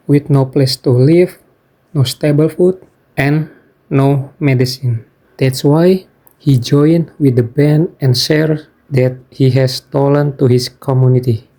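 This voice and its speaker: A man's voice: medium pitch, mellow, poor audio. A man narrating a scripted story recap as a video game player, with non-native-sounding phrasing.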